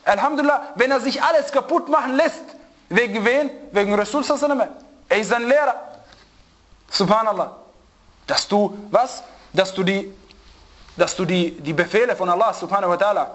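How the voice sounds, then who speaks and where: A man giving an informal religious lesson to a small group, telling anecdotes with animated, repetitive phrasing. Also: sits high, lo-fi sound. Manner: animated storyteller, repeats and restarts phrases. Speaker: religious teacher.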